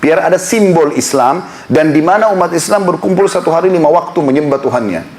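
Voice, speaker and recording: A man's voice: fairly high pitch, expressive, fairly quick, darkish tone. A man preaching an impassioned sermon to a congregation in a prayer hall, building to emphatic appeals. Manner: impassioned, rising to emphatic exhortation. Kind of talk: Islamic sermon lecture.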